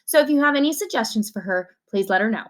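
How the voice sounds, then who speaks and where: Lady reading as mr teacher guy in a kids book, composed, encouraging, highish pitch. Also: animated, fast talker, a bit bright. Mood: announcing. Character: composed male schoolteacher addressing his class. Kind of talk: storybook read-aloud.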